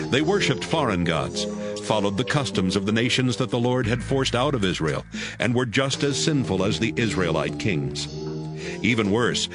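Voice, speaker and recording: A man with a fairly low voice narrates scripture in a dramatized audio Bible. His intonation is lively, and music plays underneath.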